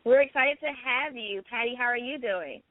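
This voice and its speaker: A woman hosting an online radio talk show reads a formal introduction and asks interview questions in a measured way. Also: fairly high pitch, no room sound. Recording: dry, tinny phone line.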